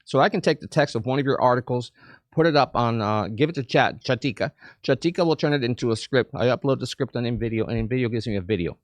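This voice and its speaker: Man from Cuba, chamber president, eager and animated, repeats words, mid-pitched voice, dry close sound. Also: fast, no echo. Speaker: chamber of commerce president, guest. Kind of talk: casual interview chat.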